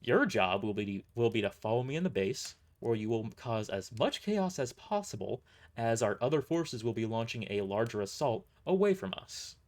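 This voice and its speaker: A man's voice: mid-range voice, sing-song, moderate pace, a little mellow, natural voice. A man voicing a cocky young woman mercenary leader, blunt and smugly mocking, in an audio drama. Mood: businesslike. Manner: taunting, smug, blunt.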